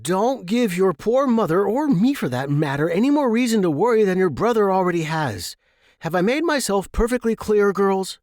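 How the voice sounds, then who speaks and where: A man voicing a flustered, earnest, kindly gentleman explorer in a storybook, exclaiming with old-fashioned politeness. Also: sits high, big pitch swings, moderate pace, no room sound, studio quality, pitched above the performer's own voice. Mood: stern. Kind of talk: storybook character dialogue.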